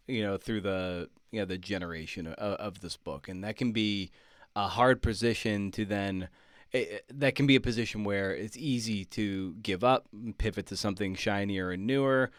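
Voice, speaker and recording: A man hosting a conversational interview, putting his questions in a thoughtful, halting way. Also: on the low side, dry recording, studio quality. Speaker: podcast host, interviewer.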